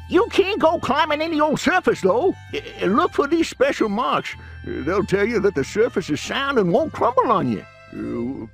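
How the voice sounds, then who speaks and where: High-pitched folksy old prospector. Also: male voice, a bit bright, full character voice. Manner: folksy, chuckling, rambling. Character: folksy old male gold prospector, chuckling and friendly. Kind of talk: cartoon game fan dub acting.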